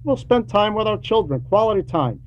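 A man preaching a sermon with emphatic, deliberate phrasing and frequent pauses. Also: sits high, very animated. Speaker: preacher reading a translated homily. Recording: dull lo-fi recording with background noise.

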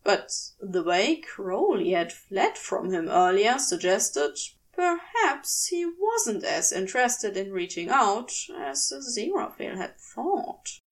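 A woman narrating a story in a measured, expressive storytelling manner, as in an audiobook. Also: mid-range voice. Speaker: podfic reader. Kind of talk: scripted fiction narration, audiobook reading.

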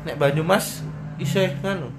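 A man's voice: fairly high pitch, moderate pace, over loud music. A young man hosting a podcast, asking a friend casual, probing questions. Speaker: podcast host. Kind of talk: casual interview chat.

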